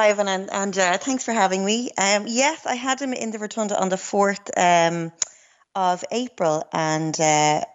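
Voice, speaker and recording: A woman's voice: fairly low pitch, lo-fi sound. A woman in her thirties, a new mother, tells her story hesitantly with many ums in a radio interview.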